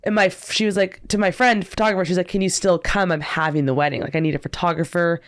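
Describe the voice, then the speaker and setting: A woman's voice: fairly low pitch, steady tone, fast. A young woman, a wedding photographer, chatting candidly on a podcast and telling stories with casual slang.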